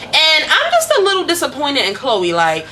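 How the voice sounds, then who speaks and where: Sassy, bright-voiced woman gossip host. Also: sits high, huge pitch swings, normal speed, slight background noise, low-quality recording. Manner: blunt, profane and sassy. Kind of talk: casual celebrity gossip chat.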